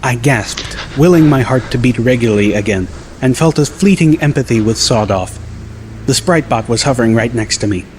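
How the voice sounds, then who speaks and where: A man narrating an audiobook in the first person, measured and dryly wry. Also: medium pitch, animated, a little mellow, slight background noise. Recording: clear music bed behind the voice.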